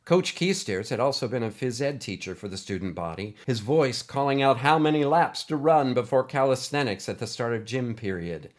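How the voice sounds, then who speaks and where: A man's voice: mid-range voice, expressive, moderate pace, few pauses. A man reading a scripted adventure story as its narrator.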